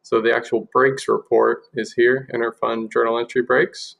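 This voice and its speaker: Gravelly-voiced man giving a software walkthrough. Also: mid-range voice, little pitch movement, moderate pace. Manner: explanatory, step by step. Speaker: software demonstrator. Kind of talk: informal screen-share software walkthrough.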